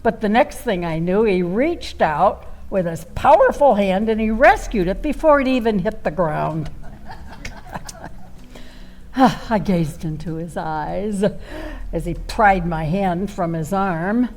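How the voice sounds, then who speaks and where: Woman playing a slow, swooping, flirty storyteller. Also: medium pitch, expressive, darkish tone. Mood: wistful, dramatic. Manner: theatrical, drawn-out dramatic delivery. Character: Violet Van Zandt, flirty much-married woman storyteller, dreamy and tipsy-witted. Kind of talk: live comic stage monologue. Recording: live theater performance with faint music.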